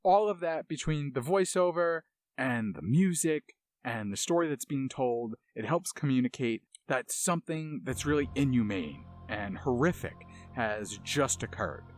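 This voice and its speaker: A man's voice: mid-range voice, expressive, measured pace. A man hosting a game music show with enthusiastic, analytical commentary and dramatic storytelling.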